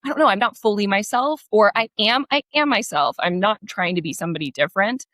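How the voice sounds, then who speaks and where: A woman's voice: mid-range voice, animated, fast talker, fairly bright tone, no room sound, studio quality. An American woman in her thirties, a guest author chatting animatedly and laughing between points. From United States. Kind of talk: casual interview chat. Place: her home in Portugal.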